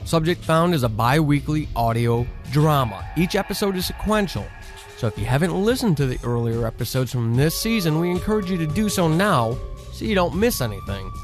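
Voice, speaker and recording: A man announcing an audio drama in scripted narration, recapping the story for listeners. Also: a little high.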